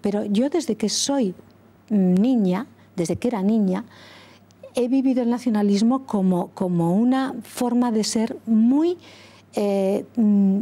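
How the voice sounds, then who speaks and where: Mellow-voiced woman from Catalonia. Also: mid-range voice. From Catalonia, Spain. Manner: deliberate, with frequent pauses and self-corrections. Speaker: interview guest, former school principal. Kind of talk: earnest interview answers.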